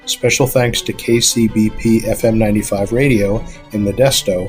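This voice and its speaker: Man, lowish voice, formal announcer, scripted narration, measured delivery, prominent music behind. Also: steady tone. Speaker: podcast announcer.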